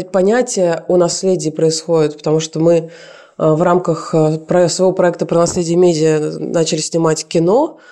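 A woman giving a radio interview as a foundation director. Her voice is mellow and soft-edged. She speaks earnestly but hesitantly, often pausing to find her words. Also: lowish voice. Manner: hesitant, many fillers, earnest.

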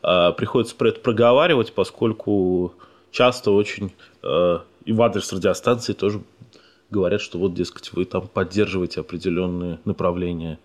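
Male radio host with a lowish voice, thoughtful and measured, careful with his words. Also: steady tone. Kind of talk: radio talk interview.

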